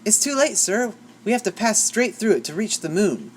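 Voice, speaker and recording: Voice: medium pitch, big pitch swings, moderate pace, slight background noise, voice pushed up, natural voice. A reader voicing a timid, eager space pilot. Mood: anxious.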